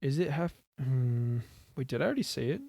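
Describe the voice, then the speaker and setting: A man's voice: mid-range voice, expressive, brisk, vocal fry, dark tone, no echo, clean studio sound. A young man with a Houston Texan accent, co-hosting a podcast in casual, rambling chat, laughing and swearing loosely. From Houston, Texas. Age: young adult. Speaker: podcast co-host. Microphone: desk mic, leaning in.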